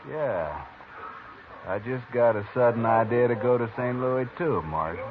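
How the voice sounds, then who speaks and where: Rough western avenger, voiced by an actor, short threats, crackly old radio line. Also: male voice, medium pitch, animated, measured pace, natural voice. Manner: curt, rough-spoken. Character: grim vengeful frontier man, blunt and threatening. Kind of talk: 1950s radio drama acting. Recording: tinny hissy old radio broadcast.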